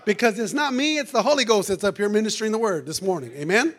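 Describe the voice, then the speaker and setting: High-voiced preacher man, going hoarse. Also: expressive, fast talker. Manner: fervent, peppers every phrase with "amen" and "hallelujah"; calls out to the congregation. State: losing his voice. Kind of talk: sermon. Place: church sanctuary.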